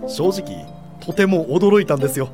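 A woman's voice: lowish voice, expressive, moderate pace, over music, natural voice. An actress playing a kindly, frank American lady in a radio drama. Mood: frank, impressed. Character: kindly, frank American woman lender at Boston church.

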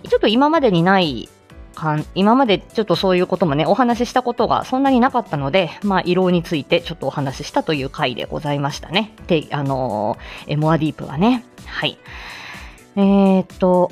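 A woman's voice: medium pitch, darkish tone. A woman hosting a casual solo morning show, chatting to listeners in a hesitant, thinking-aloud way. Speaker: host of a morning audio show.

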